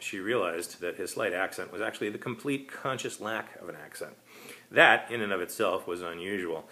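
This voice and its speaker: Low-voiced man narrating a short story. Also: sharp tone. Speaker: author reading his own story.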